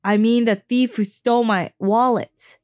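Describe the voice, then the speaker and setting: A woman voicing an exasperated young man who protests loudly in a read-aloud novel. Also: on the high side, steady tone, unhurried, no room sound, clean studio sound, soft background music, lightly acted. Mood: indignant. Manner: loud, indignant.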